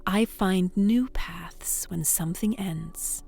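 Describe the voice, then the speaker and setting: A woman hosting a bedtime story, guiding relaxation in a soft, soothing, often whispered voice. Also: on the low side, slow, creaky, husky, faint music behind. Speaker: storyteller and host.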